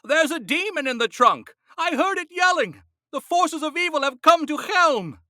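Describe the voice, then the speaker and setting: A man voicing a frightened old woman in a storybook, shouting shrilly that there are demons about. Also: expressive, normal speed, dry recording, clean studio sound, exaggerated voice. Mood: terrified. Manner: shrill, panicky shrieking.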